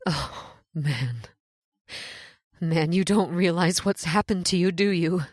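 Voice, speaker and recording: Woman doing a haunted despairing lady, real slow n halting, dry close sound, horror radio play. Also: on the low side, dry recording. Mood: bleak, despairing. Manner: slow, halting, fearful, mournful. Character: despairing, haunted woman long stranded in a nightmare. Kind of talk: old-time horror radio drama acting.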